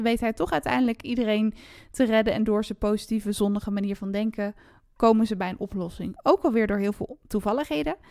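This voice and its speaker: A woman's voice: medium pitch, a little mellow, no room sound, clean studio sound. A woman in a casual, enthusiastic book discussion, speaking as a podcast host.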